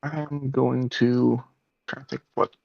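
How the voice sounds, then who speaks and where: Slow, gravelly man on a dull mic. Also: medium pitch, level tone, no echo. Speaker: tabletop game player. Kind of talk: casual game talk. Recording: dull, lo-fi microphone sound.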